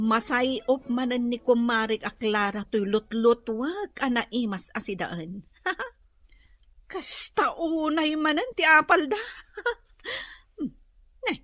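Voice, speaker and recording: A boastful Ilocano-accented housewife for a comic radio drama. Her voice is high and bright, close and dry. She gloats and taunts with mock sympathy, taking her time. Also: dry recording, full character voice. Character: boastful, smug housewife showing off her cooking. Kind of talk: radio drama comedy acting.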